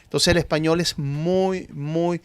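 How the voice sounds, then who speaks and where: A man's voice: on the high side, steady tone, slow, smooth, no echo. A middle-aged man with a Venezuelan accent, a doctor talking as a guest in an interview, emphatic and repeating phrases for stress. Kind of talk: conversational interview.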